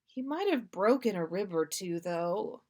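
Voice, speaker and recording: A woman's voice: mid-range voice, lively intonation, unhurried, a little mellow. A woman voicing a cheeky, unruffled young man with a breezy, magnanimous manner in a story reading. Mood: breezy, nonchalant.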